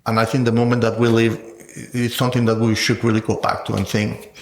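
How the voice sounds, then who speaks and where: A man's voice: mid-range voice, level tone, a little fast, a little hiss or hum. A middle-aged man talking reflectively and conversationally as an interviewed scholar, explaining his thinking thoughtfully. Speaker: guest scholar interviewed about research. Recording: roomy, echoing sound.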